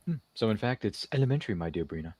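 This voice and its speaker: A man's voice: lowish voice, lively intonation, rapid-fire, a little mellow, husky, no echo, clean studio sound. A man hosting a podcast interview in a casual, jokey, rambling way, often repeating his phrases. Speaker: podcast host. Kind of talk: casual live interview chat.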